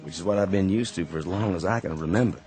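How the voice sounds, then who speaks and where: An actor playing a gentle, weary man back from war, talking softly in plain folksy words. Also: sits low, fast talker, faint music behind, lightly acted. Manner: soft-spoken, plain folksy talk. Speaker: actor in a radio drama. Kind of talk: radio drama acting.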